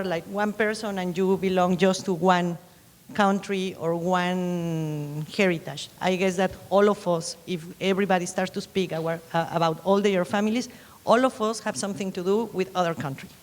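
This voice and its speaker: A woman's voice: medium pitch, fairly even intonation, moderate pace, in a large hall. A woman with a Mexican Spanish accent, Spanish being her first language, speaking on a panel and searching for her words as she goes. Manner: searching, hesitant phrasing. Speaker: panelist author. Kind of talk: panel discussion. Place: lecture hall.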